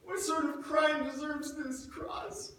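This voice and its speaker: An actor playing a grief-stricken, guilt-ridden disciple of Jesus in a theatrical monologue, speaking in a halting, emotive way. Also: male voice, extremely high pitch, little pitch movement, fairly bright tone, a little hiss or hum, heavily acted. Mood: troubled, questioning. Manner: halting, anguished, emotive delivery with repeated words. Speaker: actor in a church dramatic monologue. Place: large echoing church indoors. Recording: echoey church, far from the mic.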